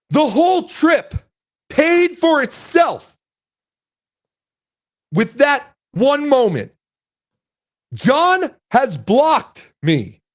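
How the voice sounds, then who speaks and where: Brief: hoarse, very high-pitched braggart troll, slow drawling taunts, muffled lo-fi stream sound. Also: male voice, heavily acted. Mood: gloating. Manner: boastful, taunting, drawn-out delivery. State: hoarse, losing his voice. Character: brash shouting online troll who calls himself Daddy. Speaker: guest host. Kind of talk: live stream chat. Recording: dull lo-fi stream audio.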